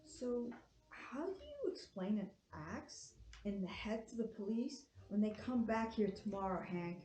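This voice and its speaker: An actress at a table read playing a scheming, scornful accomplice with a sarcastic, reproachful manner. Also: female voice, medium pitch, sing-song, a little slow, warm tone. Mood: angry, scornful. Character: scheming, scornful woman accomplice, cold and exasperated. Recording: roomy echo with faint background noise.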